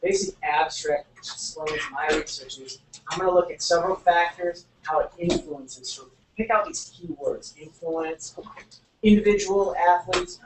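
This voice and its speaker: A man's voice: a little high, normal speed. A man teaching a sociology class, explaining step by step and repeating key words. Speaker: sociology instructor. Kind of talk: classroom lecture. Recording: echoey room, mic far off, faint noise.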